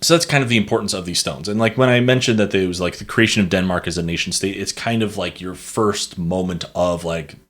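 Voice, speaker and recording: A man's voice: sits low, fairly quick, hardly any pauses, a bit bright. A man co-hosting a casual history podcast, presenting the topic with lots of fillers, restarts and self-corrections.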